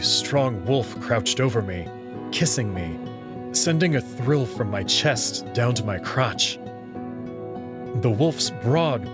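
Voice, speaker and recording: A man giving a dramatic first-person narration of a visual novel story. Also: mid-range voice. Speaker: narrator and reader.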